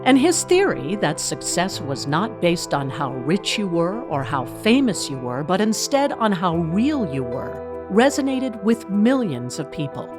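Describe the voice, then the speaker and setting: A woman's voice: lowish voice, big pitch swings, unhurried, hardly any pauses. A woman narrating a scripted documentary in a storytelling style. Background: music.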